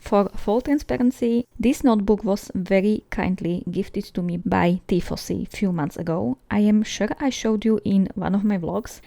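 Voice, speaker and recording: A woman's voice: medium pitch, moderate pace, creaky, hardly any pauses, mellow, no echo. A woman narrating a journaling vlog in a chatty, giggly way, often laughing lightly between sentences. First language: Slovak. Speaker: journaling YouTuber. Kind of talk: voice-over narration of a craft video.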